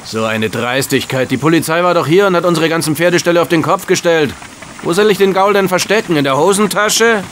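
A man's voice: a little high, a little fast, fairly bright tone, a little hiss or hum, lightly acted. An actor playing a brusque, bossy and indignant male stable boss in a German audio drama. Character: gruff, hostile male racing-stable boss.

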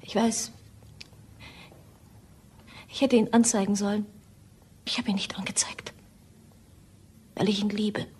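An actress playing a murder suspect's scheming, fearful mistress in a crime radio drama, with urgent delivery. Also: female voice, medium pitch, fairly even intonation, a little slow, long pauses, darkish tone, a little hiss or hum, close to an everyday voice. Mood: betraying.

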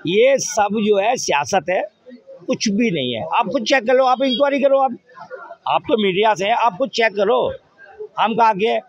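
A man's voice: high voice, slight background noise. A man argues his view in a street interview. He is emphatic and keeps throwing out rhetorical questions. The recording is somewhat dull, taken on a handheld mic. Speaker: local resident interviewed on the street. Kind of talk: street interview, animated opinion. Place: outdoors in a busy market street. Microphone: handheld reporter's microphone.

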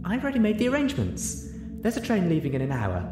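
Man as a mournful young unicorn girl, raised pitch. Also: huge pitch swings, fast talker, over music. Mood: subdued. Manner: regretful, gentle. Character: young female unicorn, mournful, apologetic little sister. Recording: roomy echo, audible noise.

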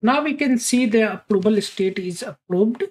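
A woman narrating a screen-recorded software tutorial, thinking aloud as she clicks through each step, with slightly non-standard English phrasing. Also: mid-range voice, animated, normal speed. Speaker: tutorial presenter.

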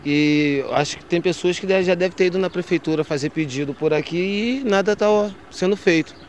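A man's voice: sits high, brisk, slight background noise. A young man wearing a helmet, interviewed outdoors on a street into a handheld mic, explaining his complaint. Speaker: resident interviewed. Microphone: handheld reporter mic.

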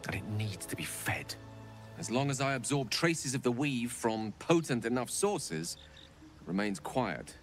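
An actor playing an eloquent, self-deprecating wizard in a video game, speaking in a theatrical, articulate way. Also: male voice, on the low side. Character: wizard man, eloquent, wry, melancholy. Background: soft game music.

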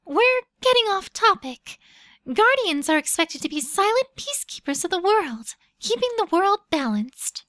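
A woman's voice: very high-pitched, big pitch swings, normal speed, fairly bright tone, no room sound, exaggerated voice. A woman playing a solemn female guardian guide in an audio drama. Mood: firm, solemn.

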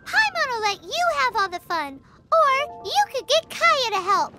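A woman's voice: extremely high pitch, very animated, unhurried, loud music behind the voice, heavily acted. A woman voicing a tiny floating girl companion, chirpy and boastful, who talks about herself in the third person. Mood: excited. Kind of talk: game dialogue.

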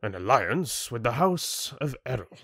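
An older, gluttonous fantasy chieftain, acted out by a male audiobook reader, speaking in a raised pitch. He is pompous and sneering, theatrically dismissive of those beneath him. The sound is close and dry. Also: very animated, measured pace, sharp tone, husky, no room sound, full character voice. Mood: scornful, dismissive. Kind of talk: audiobook character acting.

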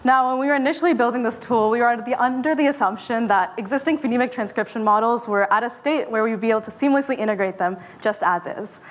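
A young woman presents a tech pitch on stage in a smooth, confident, rehearsed flow. Her voice sits fairly high, and she is picked up by a clip-on mic in a mid-sized event room. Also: quick pace, hardly any pauses. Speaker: startup presenter.

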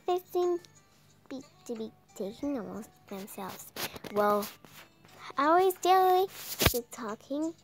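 A young woman reads a visual novel aloud in a very high voice. Her pace is slow and halting, and she often stumbles over words. Music plays behind her. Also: big pitch swings. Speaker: gameplay video creator reading aloud.

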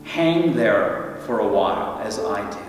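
A priest in his fifties speaks slowly and thoughtfully, pausing often as he leads his listeners inward. His voice sits some way from the mic and rings through a large church with long reverb. Soft music lies faintly behind him. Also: on the low side, expressive. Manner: slow, reflective and guiding, with long pauses. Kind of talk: Good Friday homily. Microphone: stand mic some way off, filmed by a far camera. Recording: roomy church reverb, voice from a distance.

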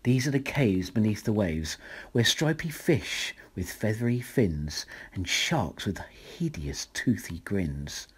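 A man reading a rhyming children's picture book aloud as a bedtime story, in a measured, dramatic way. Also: lowish voice, sing-song, breathy edge.

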